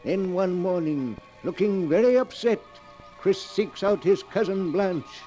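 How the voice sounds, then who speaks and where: A mysterious keeper of dark tales narrates a 1940s radio horror show. His voice is high for a man but dark in tone, theatrical and measured, over faint music. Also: low-quality recording. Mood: ominous.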